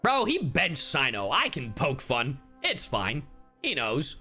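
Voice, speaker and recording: A man's voice: a little high, wildly swooping pitch, takes it slowly, bright, forceful tone, faint music behind. A man giving casual game reaction commentary as a streamer, slangy and joking.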